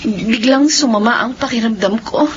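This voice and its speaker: A woman with a Filipino accent playing a timid, polite young woman, speaking haltingly in a radio drama. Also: a little high, close to an everyday voice. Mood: troubled, apologetic. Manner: halting, hesitant. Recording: muffled lo-fi radio audio, clear noise.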